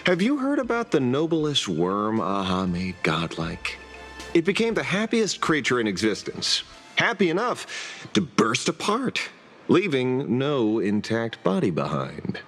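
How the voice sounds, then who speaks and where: Wry, theatrical low-voiced detective. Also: male voice, wildly swooping pitch, measured pace, fairly bright tone, soft background music, full character voice. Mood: teasing. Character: sardonic male detective with a vigilante streak. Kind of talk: video game story dialogue.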